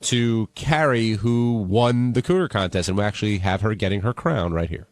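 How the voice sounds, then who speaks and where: A man's voice: fairly low pitch, normal speed, dry recording. Man asking questions on a radio show. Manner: steering, asks short questions. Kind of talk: casual radio chat.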